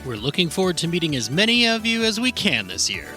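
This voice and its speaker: A man reads an event promo in an upbeat advertising manner. His voice is very bright and his pitch moves a lot. Light music sits behind him. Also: very animated, quick pace. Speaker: ad reader. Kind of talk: convention promo read.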